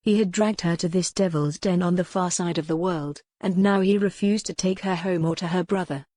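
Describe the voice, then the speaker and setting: A woman narrating a historical romance audiobook in a measured, careful storytelling manner. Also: on the low side, dark tone, dry recording.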